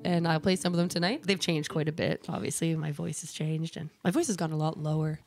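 A woman's voice: sits low, fairly even intonation, quick pace, darkish tone, no room sound, faint music behind. A woman in her thirties, a guest musician, chatting casually and laughing often at herself in a radio studio. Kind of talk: casual radio interview chat. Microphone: studio microphone.

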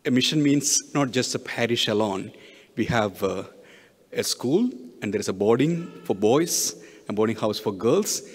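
A middle-aged man, a priest giving a homily with personal stories in an earnest, halting way, often restarting his phrases. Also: mid-range voice. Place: church, at the pulpit. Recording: long hall reverb.

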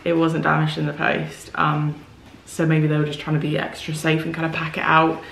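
A young woman vlogging casually to camera, chatty and rambling with lots of fillers, her voice a bit croaky today. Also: low-pitched, monotone, brisk, a bit bright, a little hiss or hum. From United Kingdom. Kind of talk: casual vlog chat to camera. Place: parked car, then home hallway. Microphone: camera on dashboard, then handheld camera.

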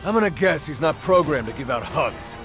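Casting: a wry teammate, higher voice, quick, music behind. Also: male voice, expressive. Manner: wry, hurried. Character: quick-talking male hero-turned-villain teammate. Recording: game audio over music.

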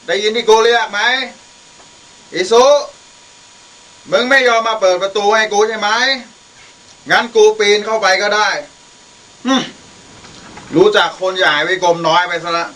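A man's voice: high voice, measured pace, long pauses, a bit bright, low-quality recording. A young man acting the role of a father, a brash drinker who turns repentant, in a student radio drama. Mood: drunken, belligerent. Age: young adult. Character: drunken brash father, later a repentant gentle monk.

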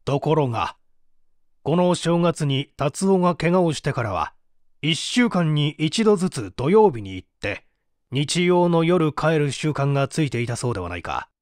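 Man acting a stern, measured male prosecutor. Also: fairly high pitch, big pitch swings, a little mellow, dry recording, clean studio sound. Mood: probing. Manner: formal, measured, probing. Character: stern, methodical male prosecutor pressing a suspect. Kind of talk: dramatic audiobook reading.

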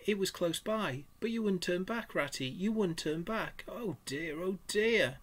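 A man's voice: on the high side, lively intonation, a little fast, creaky, voice pushed up. A man voicing a timid, homesick male mole who pleads in broken sobs in a storybook reading. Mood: pleading.

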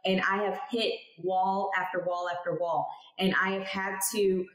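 A woman's voice: medium pitch, little pitch movement, normal speed, fairly bright tone. Emphatic woman on a roomy video call. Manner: candid, emphatic storytelling. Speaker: guest, cannabis lab chemist. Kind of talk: casual interview talk. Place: home room, indoors, video call. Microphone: headphones on video call.

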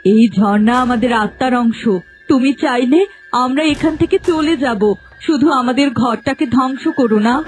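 A woman's voice: high voice, normal speed, faint music behind, close to an everyday voice. A woman voicing a grieving, vengeful serpent maiden in a fairy-tale cartoon, dramatic and pleading.

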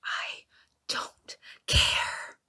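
A young woman in an ASMR roleplay playing a bossy, controlling girlfriend, speaking in slow, menacing, breathy whispers close to the mic in a small bedroom. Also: pushed and bright, heavily acted. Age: young adult. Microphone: close camera mic.

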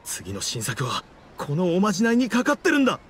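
A man's voice: high-pitched, wildly swooping pitch, a little fast, a bit bright, breathy edge, exaggerated voice. A man playing a stubborn, theatrical, pleading struggling writer in an anime game. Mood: pleading, insistent. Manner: theatrical, exasperated, insistent. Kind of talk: Japanese anime game voice acting. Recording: background music under the voice.

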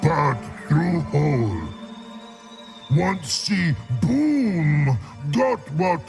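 Slow, creaky, dim-witted troll. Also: male voice, a little high, very animated, over music, heavily acted. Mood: upset, pleading. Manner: broken childlike sentences, halting. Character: slow-witted, distressed troll guard, male. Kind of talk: video game character acting.

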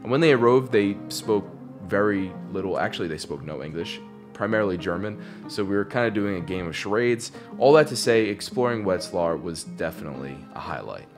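Young man recapping a trip, low voice. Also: brisk, over music. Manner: relaxed, reflective storytelling. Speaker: vlogger narrating. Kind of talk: recapping voice-over narration.

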